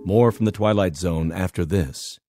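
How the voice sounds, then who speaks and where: A man's voice: low voice, moderate pace, dark tone, soft background music. A man reading scripted radio credits as the announcer.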